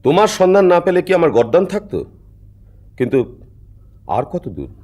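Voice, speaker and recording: Man as a husky, sly bandit henchman. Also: high-pitched, sing-song, a little fast, a little mellow. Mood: coaxing, teasing. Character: wheedling male bandit henchman, sly and watchful. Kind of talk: theatrical cartoon dialogue.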